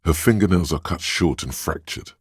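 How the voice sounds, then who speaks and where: An actor voicing the observant inner voice of a detective in a game, speaking in second person with wry, dry teasing. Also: male voice, deep voice, expressive, normal speed, slightly rough, dry recording, heavily acted. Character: inner perceptive voice, observant adult male.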